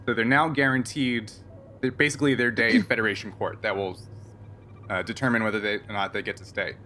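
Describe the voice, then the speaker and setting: A man running a tabletop roleplaying game, explaining and improvising in a halting, conversational way. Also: on the low side, steady tone, bright, forceful tone, a little hiss or hum. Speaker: game master.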